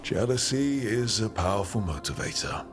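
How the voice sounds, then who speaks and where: A steady hero played by a man in a fantasy game. His low voice is direct and sympathetic, plainly spoken with faint music underneath. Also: moderate pace, lightly acted. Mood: earnest. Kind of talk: video game voice acting.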